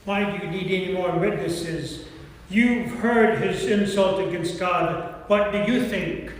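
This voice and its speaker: A lay reader playing an accusing high priest who questions a prisoner in a staged scripture reading. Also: measured pace, natural voice. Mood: accusing, interrogating. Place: church, recorded from a distance. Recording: very echoey church, distant mic.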